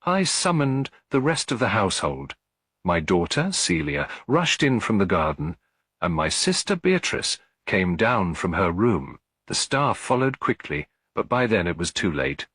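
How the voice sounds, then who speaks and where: Male narrator as middle-aged widow, tired, cool, guarded, low husky voice, audiobook dialogue. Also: sing-song, measured pace, a bit bright, no echo, clean studio sound, full character voice. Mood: strained, grieving. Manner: clear but weary, composed.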